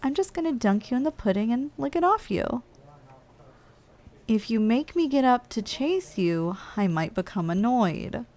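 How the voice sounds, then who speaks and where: A woman's voice: fairly high pitch, big pitch swings, a little slow, darkish tone. A woman voicing a playful, lazy giantess office worker in an intimate ASMR roleplay, coaxing and casually condescending as she speaks straight to the listener. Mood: bossy, teasing.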